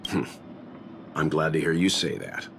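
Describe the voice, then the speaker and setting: A man voicing a calm, thoughtful gentleman mentor in a video game, speaking in a measured, courteous and serious way. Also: very deep voice, gravelly, a little hiss or hum. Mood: apologetic. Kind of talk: video game English dub acting.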